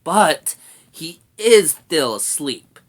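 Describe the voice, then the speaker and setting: A woman voicing a sharp-tongued young furry brownie girl in a storybook, grumpy, brash and sarcastic. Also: low voice, sing-song, drawn-out words, pitched above the performer's own voice, heavily acted. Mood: dismissive.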